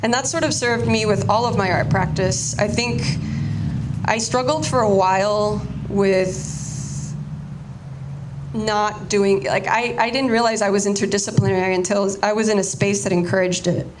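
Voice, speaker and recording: A woman's voice: mid-range voice, steady tone, normal speed, background noise. A Cuban-American woman whose first language was Spanish, giving a casual artist talk and often restarting her sentences. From United States, Cuban-American family.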